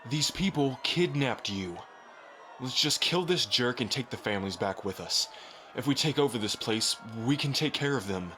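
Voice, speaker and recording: A man's voice: medium pitch, lively intonation, moderate pace, bright, forceful tone, a little hiss or hum. A man playing a loyal, hot-headed rebel in an ASMR roleplay, protective, vengeful, earnest and emphatic in delivery. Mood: indignant, pleading.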